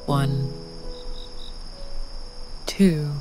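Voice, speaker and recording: A woman narrating a calming bedtime story for toddlers in a soothing, gentle manner, with long pauses. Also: deep voice, over music.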